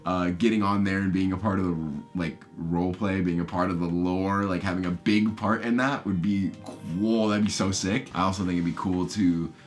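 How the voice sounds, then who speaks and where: A man's voice: low voice, steady tone, moderate pace, soft background music. A twenty-year-old man answering interview questions about himself in a casual, candid, self-deprecating way.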